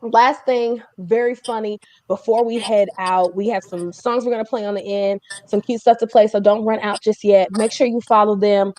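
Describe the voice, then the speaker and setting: A woman's voice: mid-range voice, steady tone, moderate pace, hardly any pauses, darkish tone. A woman hosting a casual livestream chat, talking in an informal, chatty way with joking asides. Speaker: host of a music community livestream.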